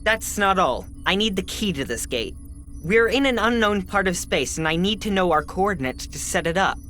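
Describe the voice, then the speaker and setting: A woman playing an eager, brisk science advisor in a video game, explaining matters in a matter-of-fact way. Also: mid-range voice, animated, a bit bright, soft background music, lightly acted. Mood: intrigued. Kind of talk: video game cutscene acting.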